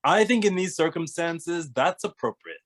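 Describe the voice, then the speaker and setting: A man playing a smart, measured ghost detective in an animated comedy table read. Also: a little high. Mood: dry.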